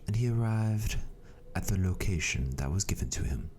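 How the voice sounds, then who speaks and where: Male narrator for amateur radio drama: low register, scripted storytelling, slight background noise. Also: lively intonation, moderate pace, darkish tone.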